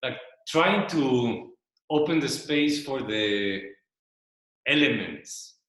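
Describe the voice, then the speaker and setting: Man, Spanish first language, slow and searching casual presenter, recorded in a very echoey room. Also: mid-range voice, animated, fairly bright tone. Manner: slow, searching, repeats words while thinking. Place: loft room with a small audience.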